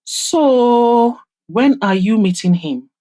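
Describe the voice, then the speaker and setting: A woman voicing an excitable, frank young woman who teases her friend in an audio drama, animated and emphatic. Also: on the high side, takes it slowly, voice pushed up. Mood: bossy, teasing. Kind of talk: audio drama character dialogue.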